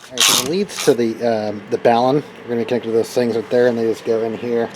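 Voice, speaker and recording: A man giving a casual, unscripted how-to as a hobbyist builder, halting and thinking aloud while he works with his hands. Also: medium pitch, fairly even intonation, heavy background noise.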